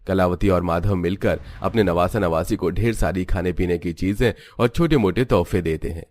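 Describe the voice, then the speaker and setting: A man reading scripted narration for an animated family story. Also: deep voice, normal speed.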